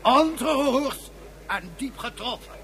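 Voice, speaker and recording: A man's voice: very high voice, lively intonation, slow pace, a bit bright, slight background noise, full character voice. An actor playing a pompous, effusive male president of Mars in a radio play, speaking in flowery, theatrical speeches. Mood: grandiose.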